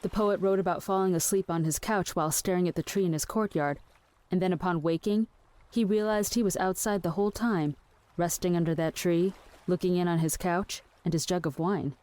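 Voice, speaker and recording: A woman's voice: on the low side, steady tone, fairly quick, darkish tone, close to an everyday voice. An actress playing a dreamy, fatalistic woman in an audio play, with a wistful and understated way of talking. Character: melancholy, dreamy, fatalistic woman on a ship at night. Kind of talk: theatrical audio play acting.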